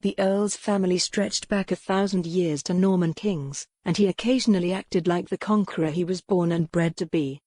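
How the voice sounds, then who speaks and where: Low-voiced woman narrating a novel. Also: normal speed, few pauses, dark tone, dry recording. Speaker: audiobook narrator. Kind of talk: scripted narration.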